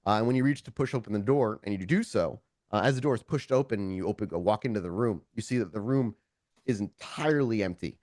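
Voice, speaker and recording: A man's voice: on the low side, quick pace, a little mellow, no echo, studio quality. A man narrating a tabletop roleplaying game as game master, conversational and informal, with descriptive storytelling.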